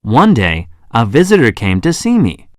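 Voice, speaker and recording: An actor playing a curious, polite traveler who narrates his own children's story with expressive, clear phrasing. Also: medium pitch, normal speed, no echo, studio quality. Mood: reflective. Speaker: first-person narrator.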